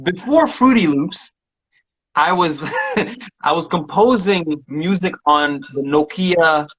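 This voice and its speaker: A man's voice: fairly high pitch, slow, fairly bright tone. Chatty young-sounding man, dull lo-fi sound. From Somalia. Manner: rambling with many restarts and laughs. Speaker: music producer guest. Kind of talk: casual interview chat.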